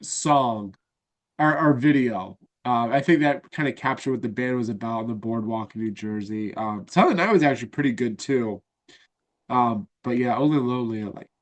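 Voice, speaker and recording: A man's voice: medium pitch, normal speed. Congested nasal man chatting casually. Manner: rambling, lots of fillers and restarts. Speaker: podcast host. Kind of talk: casual fan chat.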